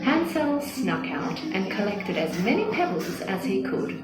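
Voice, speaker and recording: A woman narrating a scripted children's story in an expressive, dramatic manner. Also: medium pitch, a little slow. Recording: echoey with music behind.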